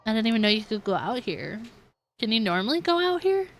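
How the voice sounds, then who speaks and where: A woman's voice: fairly high pitch, very animated, brisk. A woman game streamer giving casual, reactive live commentary as she plays. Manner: giggly, reactive.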